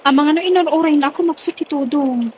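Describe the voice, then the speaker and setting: A woman's voice: high voice, fast talker, a little mellow, lo-fi sound, a little hiss or hum, lightly acted. A woman playing a concerned companion to a worried friend, in a radio drama with an Ilocano accent.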